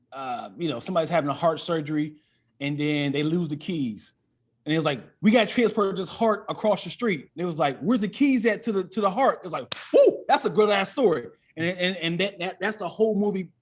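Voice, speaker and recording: An American man talking casually as a comic guest, telling stories in a self-deprecating, joking way and repeating phrases as he builds a bit. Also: mid-range voice. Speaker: stand-up comic and podcast guest. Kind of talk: casual comedic storytelling.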